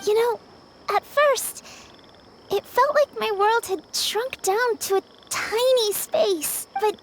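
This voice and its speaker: A woman's voice: extremely high pitch, slight background noise. A woman playing an earnest, dreamy, tender-hearted young girl in a video game, speaking in a reflective, wondering way. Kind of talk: video game character acting.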